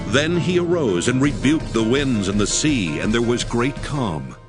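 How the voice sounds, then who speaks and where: A man with a mid-pitched, very expressive voice narrates scripture. His storytelling is formal and solemn, with clear music playing behind him. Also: measured pace.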